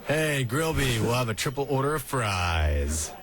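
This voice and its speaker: A man voicing a laid-back, easygoing skeleton older brother, casual and unhurried, in a comic dub. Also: medium pitch, big pitch swings, fairly bright tone. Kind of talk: fan comic dub acting. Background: faint music.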